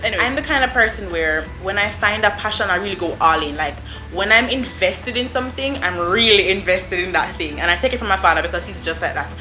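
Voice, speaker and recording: Young jamaican woman gushing through a storytime, bright voice, roomy echo. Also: mid-range voice, quick pace, soft background music. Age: young adult. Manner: effusive, animated, lots of "I mean" and "like". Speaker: vlogger. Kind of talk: casual storytime.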